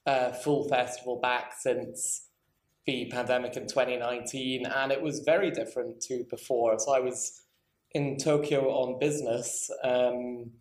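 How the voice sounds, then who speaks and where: A man's voice: medium pitch, fairly even intonation, unhurried. A man speaking as a festival director on a panel, informal and wry, with self-deprecating asides. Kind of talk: panel discussion, informal spoken account. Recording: echoey room.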